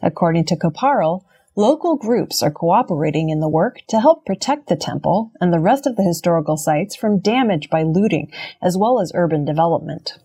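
Mellow, slightly husky female news reader. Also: fairly low pitch, animated, fairly quick. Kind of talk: scripted news narration.

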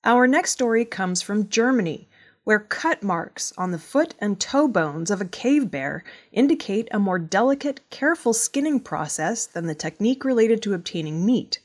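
A woman's voice: mid-range voice, very animated, moderate pace. A woman working as a news reader, narrating scripted news stories.

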